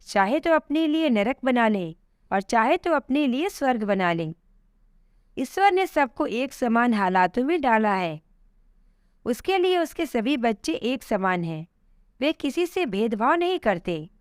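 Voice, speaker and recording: A woman voicing a calm, benevolent male god of death in a solemn, instructive storybook manner. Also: on the high side, unhurried, no room sound, studio quality. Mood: gently instructive.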